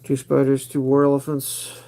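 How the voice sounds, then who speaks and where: A man's voice: mid-range voice, monotone, normal speed, vocal fry, warm tone. A man hosting a casual livestream, thinking aloud as he picks cards. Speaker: livestream host.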